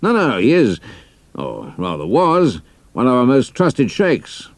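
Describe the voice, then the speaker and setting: An actor playing a senior British army intelligence officer, measured and dry, with a British accent, in an audio drama. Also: male voice, mid-range voice, huge pitch swings, no room sound, full character voice. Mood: measured, matter-of-fact.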